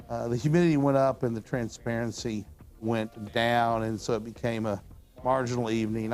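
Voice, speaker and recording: Hoarse older man, folksy talk. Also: mid-range voice, a little mellow. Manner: folksy, rambling storyteller. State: hoarse from talking all weekend. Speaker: astronomy show host. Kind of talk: casual studio chat. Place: studio with telescopes on display.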